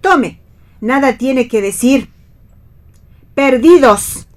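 A high-voiced Argentine mother in a radio play. She is scandalized and easily flustered, and she speaks in indignant, reproachful questions and exclamations. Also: lively intonation, drawn-out words, full character voice.

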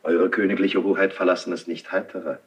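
An actor playing an older, insinuating court priest in a classical German radio drama, speaking formally and solemnly with breathy, husky declamation. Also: male voice, low voice, fairly even intonation, brisk, dull audio, a little hiss or hum, natural voice. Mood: solemn, probing. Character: insinuating older court priest, confessor to the king, smooth and probing.

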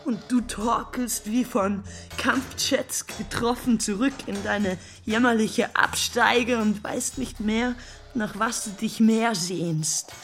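A woman's voice: fairly high pitch, slow pace, faint music behind. An actress playing a bitter, lovesick woman worn down by endless waiting, in an intense radio drama monologue. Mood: brooding, haunted. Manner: intense, brooding, building from hushed to agitated.

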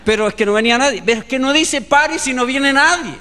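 A man's voice: very high-pitched, fast, bright, forceful tone, in a large hall, a little hiss or hum. A male pastor with a Chilean accent preaching a sermon and acting out the dialogue of a story. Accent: Chilean Spanish.

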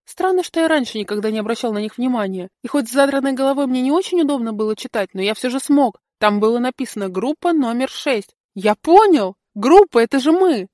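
A woman plays an eager little boy narrating his storybook tale. The voice is high and close in a dry recording, expressive and full of naive, earnest wonder. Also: a little fast, few pauses, dry recording, lightly acted. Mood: earnest, excited. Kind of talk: first-person storybook narration.

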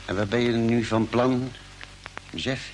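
An actor playing a calm, thoughtful doctor on a space fleet in a radio drama, speaking in a measured, slightly hesitant, soothing way. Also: male voice, mid-range voice, slight background noise, close to an everyday voice, rough recording. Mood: concerned. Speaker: actor and first-person narrator. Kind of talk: mid-century Dutch radio drama acting.

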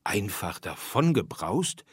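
A man's voice: fairly low pitch, very animated, takes it slowly, slightly rough, no echo, studio quality, full character voice. A man voicing a lonely, plain-spoken man from an apartment house in a storybook reading, with a questioning manner. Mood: puzzled, resigned.

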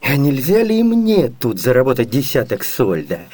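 An actor playing a hungry, wily, chatty comic servant in a theatrical radio comedy, expressive and eager. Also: male voice, medium pitch, moderate pace.

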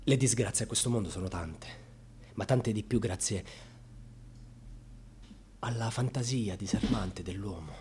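An actor playing a proud, wounded, defiant grandson in a dramatic radio monologue, with a husky voice. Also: male voice, lowish voice, a little slow, pauses often, slight background noise, natural voice. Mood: bitter, brooding. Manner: dramatic, swinging from hushed confiding to fierce outbursts.

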